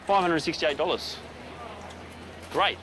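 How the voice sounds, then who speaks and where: A man's voice: a little high, slow pace, background noise. A man in his forties chatting at an outdoor market stall, enthusiastic and self-deprecating. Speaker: farmer selling at a market stall. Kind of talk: casual on-location chat.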